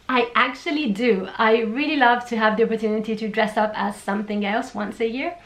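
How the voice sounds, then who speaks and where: A young woman from Italy with an Italian accent, chatting through a Q&A on her own channel. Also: on the high side. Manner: bright and chatty, reads out questions then answers at length. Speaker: vlogger. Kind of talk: casual Q&A vlog. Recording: echoey room.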